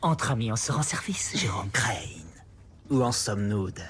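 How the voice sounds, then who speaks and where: An actor voicing a sly, courteous criminal ringleader, breathy and smug, in villainous scripted dialogue. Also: male voice, mid-range voice, expressive, normal speed, fairly bright tone, a little hiss or hum, lightly acted. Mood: scheming glee.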